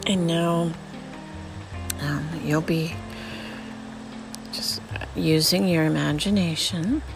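A woman leading a guided visualization meditation in a slow, soothing, spiritual voice that often sinks to a whisper. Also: low voice, steady tone, vocal fry, over music, poor audio. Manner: repetitive, spiritual phrasing.

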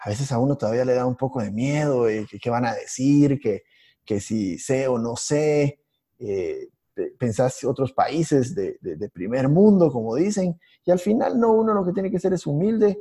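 Hombre de voz oscura, lleno de titubeos. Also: male voice, medium pitch, slightly rough. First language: Spanish. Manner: halting, many restarts and fillers, animated storytelling. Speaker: podcast co-host, IT instructor. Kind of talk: casual podcast conversation.

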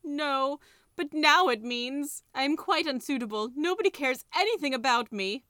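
A woman's voice: high-pitched, animated, normal speed, sharp tone, no room sound, studio quality, voice pushed up. A woman voicing a lonely, mournful talking tiger skin that wails and pleads. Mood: plaintive. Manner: plaintive, wailing.

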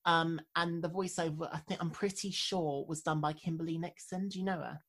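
A woman's voice: low-pitched, fairly even intonation, a little fast, a bit bright. A young woman, chatting casually and filling her speech with "like" and "um". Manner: chatty, heavy on "like" and "um". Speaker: podcast co-host. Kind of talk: casual chat.

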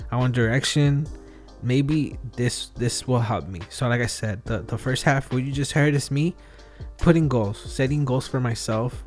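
A man in his thirties hosting a solo podcast, thinking out loud in a rambling, self-questioning way with many fillers and restarts. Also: mid-range voice. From outside the US. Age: 30s. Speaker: podcast host. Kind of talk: solo reflective podcast monologue.

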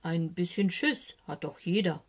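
A woman voicing a gruff, good-natured, gullible male bear in a children's storybook, talking in a plain, naive, grumbly way. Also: on the low side, a little slow. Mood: reassuring.

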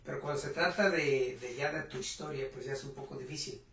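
A man's voice: medium pitch, fairly quick, fairly bright tone. A man with a Mexican accent, a guest in an interview at home, talking earnestly and hesitantly with many fillers about motivation. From Puebla, Mexico. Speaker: interview guest, business owner. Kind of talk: reflective motivational talk. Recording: roomy echo, voice from a distance.

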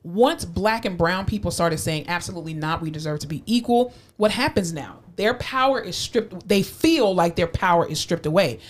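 A woman's voice: on the low side, lively intonation, normal speed, hardly any pauses. A woman co-hosting a casual podcast discussion, emphatic and opinionated, building to impassioned appeals. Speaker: podcast co-host.

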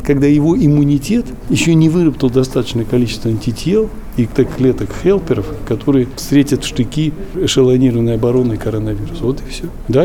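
A man's voice: medium pitch, warm tone, slight background noise. A man speaking as an interviewed doctor, explaining and instructing in informal spoken language, in a muffled recording.

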